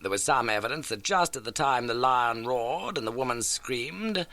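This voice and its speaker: A man voicing a brisk, keen, masterful male detective in an audiobook, with emphatic, animated and incisive delivery. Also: mid-range voice, no echo, pitched above the performer's own voice. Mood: animated puzzling. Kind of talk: audiobook character dialogue.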